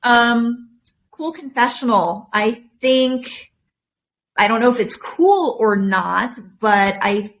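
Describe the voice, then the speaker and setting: A woman whose first language is Korean, a marketing director speaking as a podcast guest in a studio, candid and thoughtful with some halting pauses. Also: a little high, a bit bright. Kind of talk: casual podcast chat.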